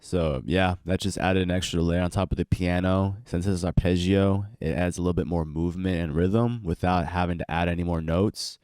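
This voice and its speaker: A man's voice: low-pitched, steady tone, normal speed, hardly any pauses, a little mellow, no room sound. A young man with a stuffy, congested voice from allergies, casually walking through how he produced a beat in a home studio. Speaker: beat producer and channel host. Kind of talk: casual tutorial walkthrough.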